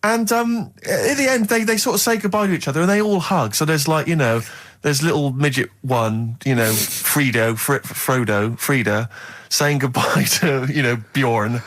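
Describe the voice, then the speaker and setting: A man's voice: mid-range voice, moderate pace, few pauses, a bit bright. A man co-hosting a radio show with exasperated, incredulous comic banter and rants.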